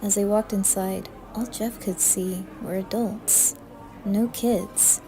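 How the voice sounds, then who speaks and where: A woman's voice: mid-range voice, fairly even intonation, takes it slowly, over music, poor audio. A woman reading scripted horror narration as a storyteller.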